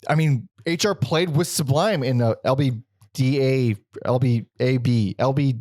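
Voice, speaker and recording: A man's voice: medium pitch, measured pace, darkish tone, dry recording. Male podcast host, casual and rambling, curses freely, dry close mic. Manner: rambling, swears casually, laughs often. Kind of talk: casual music discussion.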